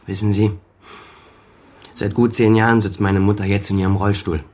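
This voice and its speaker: An actor playing a weary, defensive son and former officer in a naturalistic radio crime drama, speaking in a bitter, guarded way. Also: male voice, lowish voice, flat delivery, normal speed, a little mellow, close to an everyday voice. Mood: bitter, sad. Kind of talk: naturalistic German radio play dialogue.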